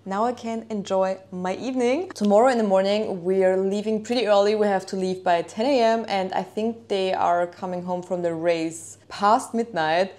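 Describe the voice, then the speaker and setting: Chatty young woman vlogging. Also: medium pitch. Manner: chatty, rambling, excitable. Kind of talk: casual vlog chat to camera. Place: indoors in a small kitchen of a holiday house.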